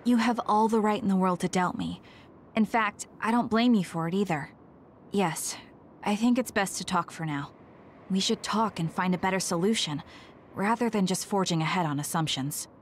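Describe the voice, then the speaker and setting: A woman voicing a serious young female platoon leader, measured and formal, in an anime-style game. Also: mid-range voice, a little hiss or hum, close to an everyday voice. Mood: apologetic, sincere.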